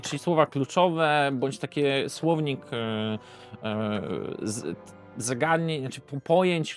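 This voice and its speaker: A Polish man with a slightly high-pitched voice talks through a video review for viewers. His delivery is unscripted and informal, with hesitant pauses and frequent self-corrections. Music plays behind him. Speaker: board game reviewer presenting to viewers.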